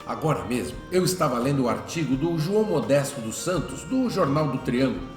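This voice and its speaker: A man's voice: mid-range voice, animated, brisk, lightly acted. A man playing a protective, emphatic father of a grown son in a radio drama. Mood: concerned, hopeful. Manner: emphatic, declamatory. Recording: roomy echo, faint music behind.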